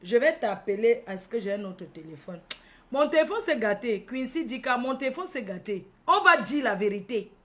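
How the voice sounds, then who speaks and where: A woman's voice: a little high, lively intonation, moderate pace. A woman from Cameroon with a Cameroonian accent hosting a casual livestream, addressing followers by name, repeating phrases and tagging on questions. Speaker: livestream host.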